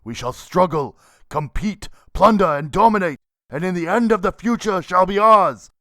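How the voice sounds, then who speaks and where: A man's voice: high voice, normal speed, pushed and bright, no echo, clean studio sound, voice pushed up. A man voicing a proud, tyrannical anime emperor in pompous, grandiose oratory. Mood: triumphant.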